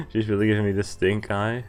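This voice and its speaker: A man streaming a visual novel let's play, reading the text aloud and adding casual, offhand commentary of his own. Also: fairly low pitch. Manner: mixes read-aloud narration with offhand reactions and asides. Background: game music.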